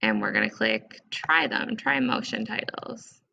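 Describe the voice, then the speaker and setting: A woman presenting a casual step-by-step software tutorial in an informal, conversational way, recorded with dull, lo-fi sound. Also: very deep voice, wildly swooping pitch, a little slow, gravelly.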